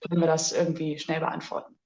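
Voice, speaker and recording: A woman co-hosting a casual livestream tasting, lively and chatty. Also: sits low, fast talker, roomy sound, dull audio. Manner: lively, chatty, laughs easily. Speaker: co-host.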